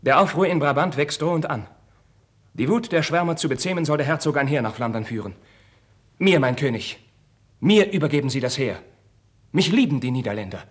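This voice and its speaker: An actor playing an impassioned, proud young Spanish crown prince in a 1950s German radio drama, with declamatory, theatrical diction and a slightly husky voice. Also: male voice, a little high, expressive, brisk, long pauses. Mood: passionate, insistent.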